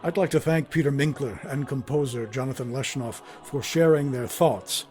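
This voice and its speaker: A man's voice: mid-range voice, moderate pace, darkish tone. A man hosting a program with scripted narration. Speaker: host. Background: music.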